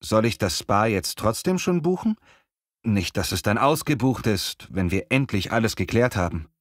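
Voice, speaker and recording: A man's voice: fairly low pitch, animated, dry recording, lightly acted. Man acting as friendly young brother, dry studio sound. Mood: agreeable, practical. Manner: clear, measured, textbook delivery. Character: friendly young man, apprentice, planning parents' gift. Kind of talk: scripted language-learning dialogue, clearly enunciated.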